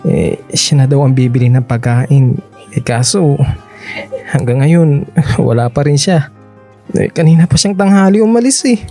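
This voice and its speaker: An actor playing a meek, hungry teenage younger brother, speaking hesitantly in a radio drama. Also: male voice, fairly high pitch, normal speed, pauses often, warm tone. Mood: sheepish, hungry. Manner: hesitant, sheepish, starts phrases with small interjections. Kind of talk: radio drama dialogue acting. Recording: close dry studio, music underneath.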